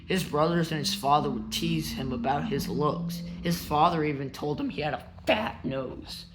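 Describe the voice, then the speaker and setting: A man narrating a biography as a read-aloud, telling it simply and in measured phrases. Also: fairly high pitch, background noise. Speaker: narrator and show host.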